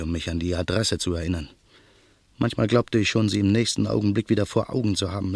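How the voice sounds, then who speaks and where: A man's voice: lowish voice, dry recording. A man narrating and playing Daniel, a bitter man set on revenge, in an earnest, brooding radio play voice. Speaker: narrator and protagonist.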